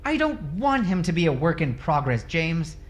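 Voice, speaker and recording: A man's voice: fairly high pitch, sing-song, moderate pace, faint music behind, voice pushed up. A man voicing an angry, tearful mother in a heated audiobook family argument. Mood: tearful, snapping. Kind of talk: dramatic audiobook character dialogue.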